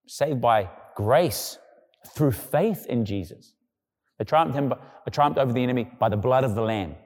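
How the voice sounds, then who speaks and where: A male pastor preaching a sermon in a conversational way, now and then acting out quoted people in a lower, quieter voice. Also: animated, unhurried, in a large hall.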